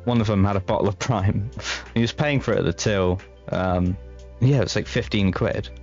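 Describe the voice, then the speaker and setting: A man's voice: lowish voice, moderate pace, darkish tone, muffled recording, soft background music. A man co-hosting a casual podcast, rambling and hesitant, with plenty of fillers. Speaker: podcast co-host. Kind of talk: casual chat.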